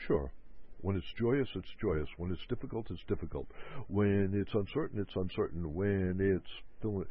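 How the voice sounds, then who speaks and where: A man's voice: low-pitched, moderate pace, husky. A man hosting a motivational self-help talk on the radio. He repeats his points and acts out people's worried inner voices. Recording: narrow phone-like radio audio.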